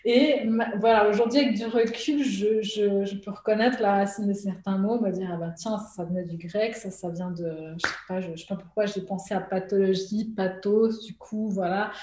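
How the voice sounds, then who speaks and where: A woman from Switzerland, talking as a guest in a relaxed interview. Her speech is hesitant and full of fillers, and she laughs often as she thinks aloud. She was recorded at home in an echoey room. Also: mid-range voice, moderate pace, few pauses, a little hiss or hum. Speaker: guest, artist and illustrator. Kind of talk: casual conversational interview. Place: at home during lockdown.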